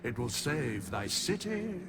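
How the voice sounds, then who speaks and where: An actor playing an ancient, all-knowing undead sage in a fantasy game, grave, archaic and portentous. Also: male voice, medium pitch, sing-song, slow, vocal fry, noisy background, full character voice. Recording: music behind.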